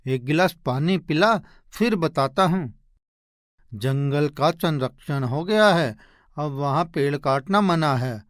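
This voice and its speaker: A man voicing a poor, humble woodcutter husband in an animated moral story, earnest and expressive. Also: a little high, takes it slowly, dry recording, clean studio sound. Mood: dejected, reluctant.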